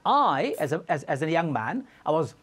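Husky guy in his 40s from bangladesh, fired up and animated on a tv debate. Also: a little high, normal speed. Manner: impassioned, insistent, animated. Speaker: studio guest. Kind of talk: heated TV debate. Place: TV studio.

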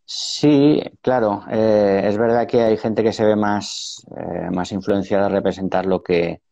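A man in his fifties with a Valencian Spanish accent talks over a video call, his low voice rough with fry and mellow in tone. He answers slowly, halting and restarting as he thinks. The sound is dry but a little dull and muffled. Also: steady tone, dry recording. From Valencia, Spain. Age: 50s. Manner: hesitant, many restarts and fillers. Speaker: painter, guest. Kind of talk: reflective interview answers. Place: room at home.

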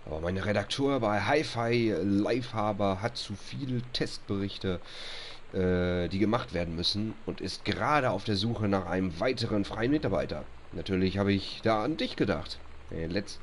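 A man's voice: fairly low pitch, animated, normal speed, slight background noise. A young man with a stuffy nose chatting casually over gameplay, reading on-screen text aloud and grumbling at bugs. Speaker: let's play host.

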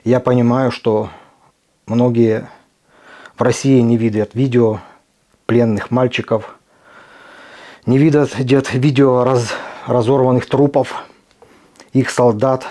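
A middle-aged man from Ukraine speaks straight to his viewers in a mellow, darker voice at an ordinary pitch. His delivery is earnest and emphatic, and he leans on deliberate pauses. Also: flat delivery. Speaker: video blogger. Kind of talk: impassioned direct address to camera.

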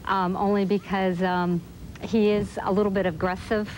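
A woman's voice: mid-range voice, flat delivery, normal speed. An American woman giving a casual interview as a parent. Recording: very noisy outdoor TV interview.

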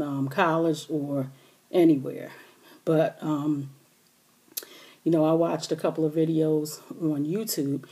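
A middle-aged woman talking quietly and hoarsely to her camera at home, rambling with many fillers as she gives her viewers an update. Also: sits low. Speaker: vlogger. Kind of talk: casual direct-to-camera update. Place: small bedroom indoors. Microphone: webcam or laptop camera close up.

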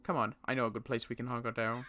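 A young man playing a weary survivor in a Minecraft roleplay, talking in halting bursts with sighs and restarts. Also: medium pitch, level tone, sharp tone, soft background music. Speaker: roleplay player and channel host.

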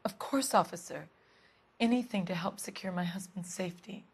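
A woman's voice: mid-range voice, normal speed, background noise, lightly acted. A woman acting as a worried, polite 1940s housewife in a video game, speaking in a proper, emotive way. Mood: compliant, worried.

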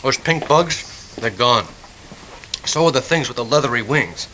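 A practical survivor in a radio drama. His bright, forceful voice is matter-of-fact and methodical with a wry edge, over faint tape noise. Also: mid-range voice, lively intonation, measured pace, rough recording. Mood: calculating, urgent. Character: practical, calculating man sizing up a siege. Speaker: actor in an audio drama. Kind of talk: naturalistic radio drama acting.

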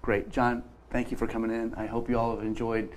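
A man's voice: mid-range voice, little pitch movement, fairly quick, slight background noise, low-quality recording. A man in his fifties hosting a casual studio interview, asking friendly, rambling questions. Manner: friendly, meandering questions with restarts. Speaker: show host. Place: bright studio set at a table.

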